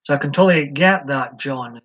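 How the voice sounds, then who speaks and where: A man's voice: mid-range voice, a little fast, a bit bright. A man from Scotland hosting a live stream, chatting in a rambling, hesitant way with many ums. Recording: slightly dull lo-fi stream audio.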